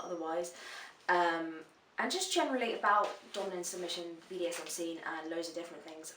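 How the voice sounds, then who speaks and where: A woman's voice: medium pitch, fairly bright tone, a little hiss or hum. A woman answers viewer questions in a frank, chatty vlog, swearing freely. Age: 20s. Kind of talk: casual vlog Q&A. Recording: roomy echo, voice a bit far from the mic.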